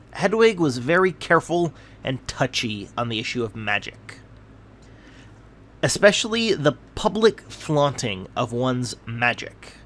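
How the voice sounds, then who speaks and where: A man's voice: a little high, a bit bright. A man reading a scripted fantasy tale aloud as its narrator.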